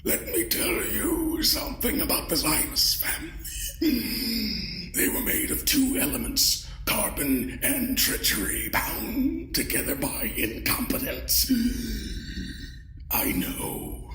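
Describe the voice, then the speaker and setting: Swooping, pompous undead old lord. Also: male voice, high voice, huge pitch swings, sharp tone, roomy sound, exaggerated voice. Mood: bitter, scheming. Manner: theatrical, pompous, slow dramatic delivery. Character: ancient decayed undead lord, grandiose, bitter, theatrical old villain. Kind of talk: audio drama acting.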